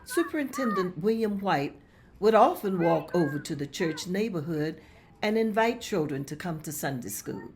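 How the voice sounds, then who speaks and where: A woman narrating a script at a table read, reading scene directions in a plain, steady way. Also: on the low side, normal speed, noisy background.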